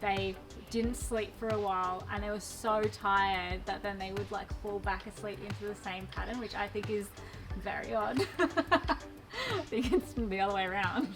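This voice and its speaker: A woman in her twenties talks in a lively, playful way and laughs often. Her voice sits a little back from the microphone. Clear music runs underneath her. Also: medium pitch, normal speed, recorded from a distance. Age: 20s. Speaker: interviewee. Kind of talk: casual interview answers.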